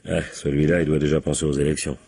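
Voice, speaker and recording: A man's voice: very deep voice, little pitch movement, fast talker, warm tone, slight background noise. A man playing a dogged, wry American police captain in a French radio crime drama, authoritative and probing. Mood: dutiful, wry.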